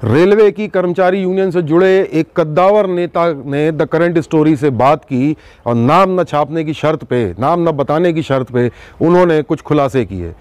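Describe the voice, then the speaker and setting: Higher-voiced man presenting a news exposé. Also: a little mellow, no echo. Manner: emphatic, with dramatic pauses and rhetorical questions. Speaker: host of an investigative news show. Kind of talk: news exposé monologue to viewers.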